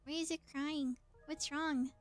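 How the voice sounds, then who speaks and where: A woman's voice: sits high, fairly even intonation, a little slow, faint music behind. A woman doing casual live game commentary as a streamer, chatty and thinking aloud as she plays.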